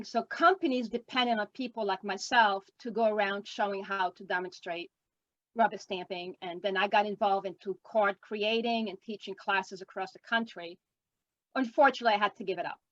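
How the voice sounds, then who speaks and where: A woman's voice: medium pitch, a bit bright, no room sound. French Canadian woman on a dull video call. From Quebec, Canada. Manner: chatty, rambling, with frequent ums. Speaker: guest artist. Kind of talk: casual interview chat.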